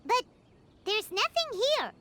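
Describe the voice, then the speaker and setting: A woman voicing an excitable, chatty little fairy companion girl in a video game, with a squeaky childlike voice. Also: slow pace, noisy background, heavily acted. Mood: puzzled. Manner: refers to self by name, animated. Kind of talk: video game character acting.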